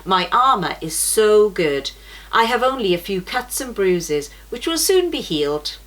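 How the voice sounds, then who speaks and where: A woman voicing a brave young male guide in a children's storybook, speaking calmly and steadily. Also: mid-range voice, a little slow, poor audio. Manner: calm and steady.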